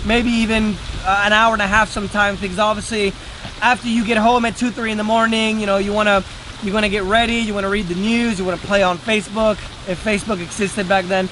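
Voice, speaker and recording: High-pitched man over loud background noise. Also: flat delivery, quick pace. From Venezuela. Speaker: day trader and trading teacher. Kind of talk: casual motivational talk to camera.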